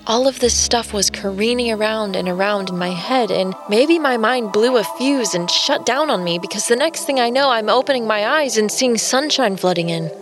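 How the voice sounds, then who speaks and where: A woman's voice: on the high side, animated, moderate pace, hardly any pauses, over music, lightly acted. An actress playing a wry, curious American woman, telling her story as conversational first-person audio drama narration. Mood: wry, musing. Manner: wry, musing.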